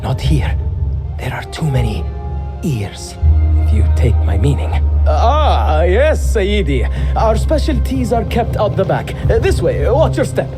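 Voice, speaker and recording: A secretive, eager tea house servant. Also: male voice, high voice, huge pitch swings, a little slow, over music, exaggerated voice. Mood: hushed. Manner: hushed, conspiratorial.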